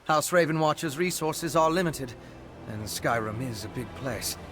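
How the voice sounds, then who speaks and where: An actor playing an earnest, inquisitive male investigator in a fantasy video game, speaking in a measured, articulate, studious way. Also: a little high, lively intonation. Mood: serious, explanatory. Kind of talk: video game character dialogue. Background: game music.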